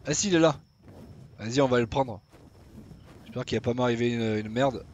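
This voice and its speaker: A man's voice: mid-range voice, normal speed, darkish tone, muffled recording. Young guy rambling over his game, casual swears, talking to himself, bit of game noise behind. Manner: chatty, swears casually, talks to himself while playing. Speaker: gaming YouTuber. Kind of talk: casual gameplay commentary.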